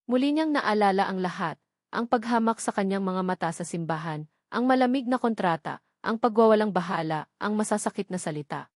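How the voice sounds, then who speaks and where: A woman's voice: mid-range voice, animated, brisk, dry recording, clean studio sound. A woman narrating a romantic drama story in a scripted audiobook style.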